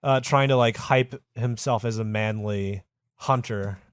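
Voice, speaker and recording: A man's voice: on the low side, measured pace, smooth, no room sound, studio quality. A man co-hosting a comedy history podcast, telling the story with crude jokes and mock-dramatic flourishes. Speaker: podcast co-host narrating history. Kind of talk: casual comedic podcast banter.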